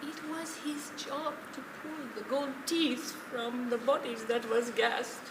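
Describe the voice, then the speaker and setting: A woman acts out an elderly, plain-spoken widow and survivor in a stage play. Her high voice moves slowly, weighted with old hurt. It echoes from across a noisy room. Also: flat delivery, recorded from a distance. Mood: pained, sorrowful. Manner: deliberate, emotional storytelling. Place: theatre stage, distant mic.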